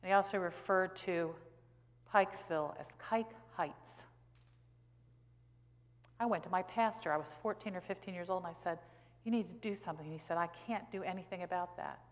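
An American woman in her sixties, a pastor giving a sermon in a conversational, emphatic way with rhetorical questions and pauses for stress. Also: lowish voice. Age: 60s. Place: church sanctuary, indoors at a pulpit. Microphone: pulpit gooseneck microphone. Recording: reverberant church hall.

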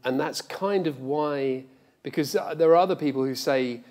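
A man's voice: on the high side, normal speed, darkish tone. A middle-aged man speaking reflectively and emphatically as a guest in an on-stage conversation. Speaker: guest, audio drama director. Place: large lecture theatre stage.

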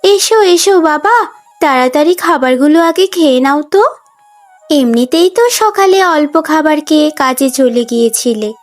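একজন মহিলা কার্টুন গল্পে স্নেহময়ী, কর্তব্যপরায়ণ তরুণী ছোট বোনের চরিত্রে কণ্ঠ দিচ্ছেন, কথায় নরম অনুনয় আর যত্ন।. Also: female voice, high-pitched, lively intonation, moderate pace, soft background music. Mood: concerned, caring. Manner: gentle, pleading, caring. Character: sweet, dutiful, affectionate young younger sister. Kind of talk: Bengali cartoon story voice acting.

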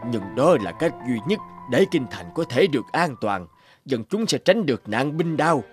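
A man's voice: mid-range voice, sing-song, moderate pace, faint music behind, lightly acted. A man voicing a deferential, scheming court chancellor who speaks formally and persuasively in a cartoon folk tale. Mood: persuasive, grave.

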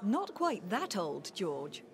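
An actress playing a calm, kindly mother pig in a children's cartoon game, reassuring in manner. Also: lowish voice, takes it slowly. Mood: amused, reassuring.

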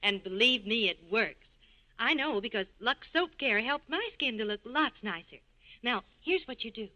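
A bossy, friendly young office worker in a 1940s radio commercial. Her voice is high, bright and very animated, with chirpy, brisk advice. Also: highs cut off. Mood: teasing, eager. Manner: chirpy, advising.

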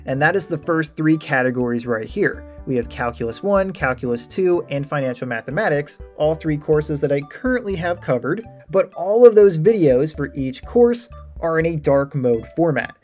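A man's voice: on the high side, expressive, normal speed, hardly any pauses, darkish tone. Man giving a casual tutorial over music. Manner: informal, explanatory. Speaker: math tutorial creator giving a site tour. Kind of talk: casual screen-share walkthrough. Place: voice-over for a screen recording.

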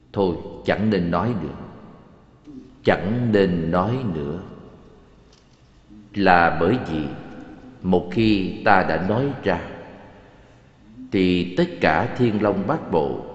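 A man playing a serene, solemn Buddha teaching in measured, formal speech in an animated religious drama. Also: low voice. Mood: grave, refusing. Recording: reverberant hall echo, slightly dull sound.

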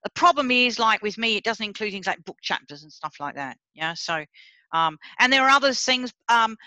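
A woman's voice: fairly high pitch, expressive, normal speed, pushed and bright, dry recording, low-quality recording. A middle-aged woman professor from Sri Lanka, leading a seminar over a video call in a blunt, chatty way that speaks straight to her listeners. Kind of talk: informal academic talk on a video call.

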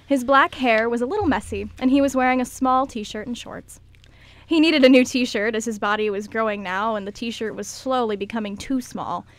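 A woman narrates a scripted story for an amateur radio play. Her voice sits a little high, and the sound is dry and close. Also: normal speed, dry recording.

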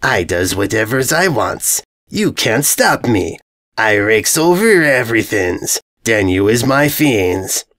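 Man playing a slow, gloating, dim-witted armoured brute. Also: fairly low pitch, big pitch swings, a bit bright, heavily acted. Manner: childish broken grammar, slow gloating delivery. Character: crude, boastful, dim-witted male invader in spiked armour.

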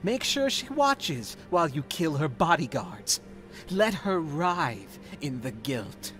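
An actor playing an arrogant, hate-filled young noble mage in a fantasy game, haughty and sneering. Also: male voice, fairly high pitch, very animated, slow, a bit bright, soft background music, heavily acted. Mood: sneering menace. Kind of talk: dramatic fantasy game voice acting.

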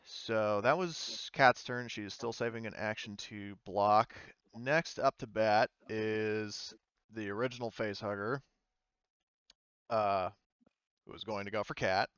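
A man's voice: fairly low pitch, unhurried, a bit bright, smooth, no echo, muffled recording. A man running an online tabletop game as game master, talking casually and hesitantly as he thinks aloud.

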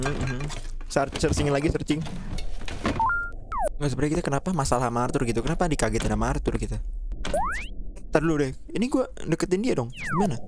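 A young man gives casual horror game commentary, muttering repeatedly in a panicky way and mixing slang with English swearing. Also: a little high. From Indonesia. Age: young adult. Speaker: gaming video host. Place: small room indoors.

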